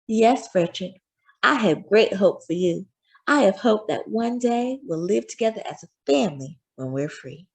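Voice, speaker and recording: A loving storybook mother, acted out by a woman reading aloud. She speaks gently to her young son in a slightly raspy voice that rises and falls freely, full of hope and comfort. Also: medium pitch, moderate pace. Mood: hopeful. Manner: gentle, expressive. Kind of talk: storybook character voice.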